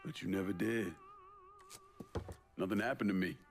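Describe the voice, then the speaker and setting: An actor playing a tough young war veteran, terse and steely, in a dramatic game cutscene. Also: male voice, sits low, moderate pace, faint music behind. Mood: calm, terse. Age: young adult.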